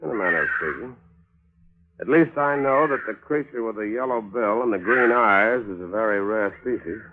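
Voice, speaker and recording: An actor playing a shrewd, probing newspaper writer, dry and insistent, in 1940s radio mystery style. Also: male voice, lowish voice, animated, moderate pace, narrow, tinny audio, lightly acted. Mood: cool, accusing. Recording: noisy, band-limited 1940s radio recording.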